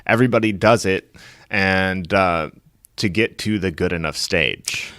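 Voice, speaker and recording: A man hosting a podcast, asking questions and adding encouraging thoughts in a relaxed way. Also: deep voice, measured pace, clear, resonant tone, no room sound, studio quality. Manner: easygoing, affirming interviewer. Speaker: podcast host. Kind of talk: casual podcast interview.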